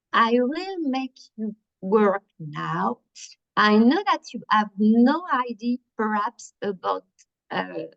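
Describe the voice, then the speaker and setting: French lady presenting over a video call, kinda high voice, lots of ums, dull lo-fi sound. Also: vocal fry. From France. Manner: hesitant, many fillers and restarts. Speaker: workshop presenter. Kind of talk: online conference presentation.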